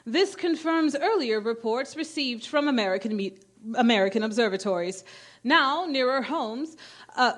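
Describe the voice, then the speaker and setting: An actress playing a brisk, formal female studio announcer reading bulletins in a declamatory 1930s radio drama style. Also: high-pitched. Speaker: stage actor in a radio play.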